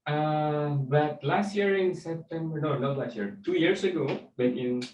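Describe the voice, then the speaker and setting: A young man with an Indonesian accent giving casual, somewhat halting interview answers as a webinar guest. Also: fairly high pitch, darkish tone. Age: young adult. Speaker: interview guest, software developer. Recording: muffled, roomy, distant mic, faint noise.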